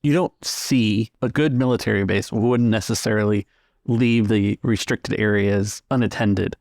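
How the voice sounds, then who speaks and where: A man runs a tabletop game in a low voice with plenty of gravelly fry. He describes scenes in measured phrases, pausing to find words, and the sound is close and dry. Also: steady tone, a little mellow, no room sound. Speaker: game master. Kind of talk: improvised tabletop game narration.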